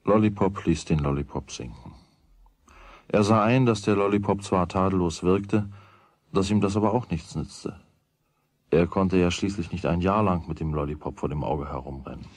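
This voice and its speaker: An actor narrating a children's radio play as a wry storyteller with a husky voice. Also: male voice, low-pitched, normal speed, a little mellow, no echo. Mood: wry, matter-of-fact.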